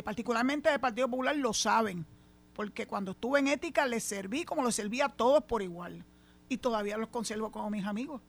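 A middle-aged woman with a Puerto Rican accent hosting a radio talk show, outspoken and emphatic. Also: fairly high pitch. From Puerto Rico. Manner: outspoken, emphatic, laughs easily. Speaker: radio host. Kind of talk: casual on-air radio chat. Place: radio studio.

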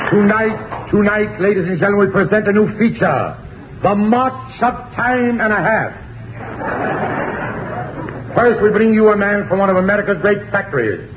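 1940s radio announcer guy, high voice, grand and formal, noisy old recording. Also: expressive, moderate pace, highs cut off.

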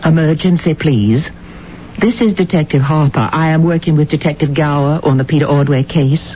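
An actress playing a poised, shrewd woman detective in an old-time radio drama, polite and deliberate in her theatrical delivery. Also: low-pitched, close to an everyday voice. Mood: brisk, businesslike. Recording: tinny, band-limited old radio recording, hiss.

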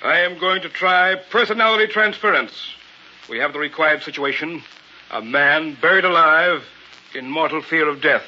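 Sharp, commanding mad villain. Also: male voice, on the high side. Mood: threatening. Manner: menacing, deliberate, domineering. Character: cold, commanding escaped madman posing as a professor. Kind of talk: old radio horror acting. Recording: dull old radio recording with noise.

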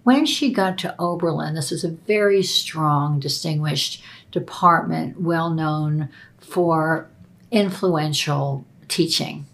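A middle-aged woman speaks as an expert in an interview. Her voice is low and gravelly with plenty of creak, and she chooses her phrases in a deliberate, scholarly way. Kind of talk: unscripted interview commentary.

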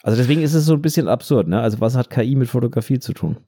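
A man's voice: medium pitch, expressive, very fast, mellow, slightly rough, no room sound, clean studio sound. A man in casual, unscripted podcast talk, explaining things and repeating phrases for emphasis. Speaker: podcast co-host. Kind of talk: casual unscripted podcast chat about photography.

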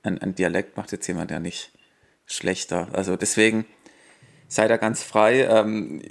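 A man with a light Swabian accent, co-hosting a podcast in a relaxed, chatty way with frequent fillers. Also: lowish voice, measured pace, vocal fry. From Swabia, Germany. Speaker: podcast co-host. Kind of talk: casual chat.

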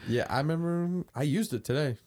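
A man's voice: fairly high pitch, a little fast, a little mellow. A young man in his early twenties co-hosting a podcast, rambling casually with lots of fillers and swearing. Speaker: podcast co-host. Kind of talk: casual chat.

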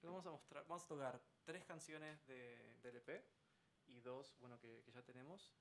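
A man's voice: medium pitch, normal speed, a bit bright. A man from Argentina, a band musician, telling a story casually in a radio interview. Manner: storytelling with laughing asides. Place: radio studio.

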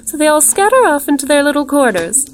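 An eerie undead lady from a gothic horror tale, put on by a woman narrator. Her voice is lifted high, sweetly cooing and ceremonious, with a plaintive edge. A little noise sits behind her. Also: very animated, fast talker. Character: ghoulish, glittering-skinned leprous woman, cooing sinister hostess.

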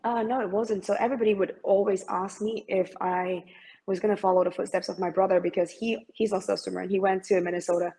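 A woman's voice: medium pitch, steady tone, quick pace, warm tone, low-quality recording. A young woman from Malaysia answering interview questions about her swimming career, hesitant, with many fillers and restarts. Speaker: interviewee, former competitive swimmer.

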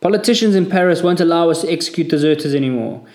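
Guy putting on a mean army corporal, loud and barky, higher and pushier than usual, echoey room. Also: rapid-fire, close to an everyday voice. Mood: barking scorn. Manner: barking, scornful shouting. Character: harsh, contemptuous male army corporal.

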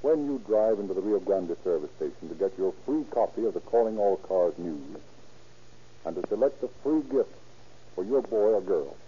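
A man's voice: mid-range voice, mellow, husky. A man announcing in a formal, scripted 1930s radio advertising style. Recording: muffled, noisy 1930s radio recording.

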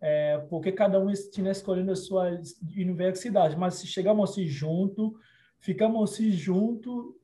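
Interviewee: man from Congo, non-native speaker, high mellow voice, earnest storyteller. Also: a little slow. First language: not Portuguese. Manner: earnest storytelling with frequent restarts and soft laughs. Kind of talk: personal life story in an interview.

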